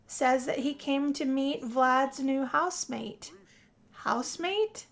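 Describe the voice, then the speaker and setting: A woman telling a first-person role-play story as game narration, theatrical, with drawn-out phrases and pauses. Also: high voice, vocal fry. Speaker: gameplay narrator.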